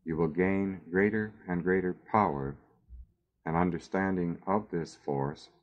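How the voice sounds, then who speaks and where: A man with a very deep voice leads a guided meditation. He speaks very slowly and soothingly, leaving long pauses between short phrases. The recording is dull and somewhat lo-fi. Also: fairly even intonation.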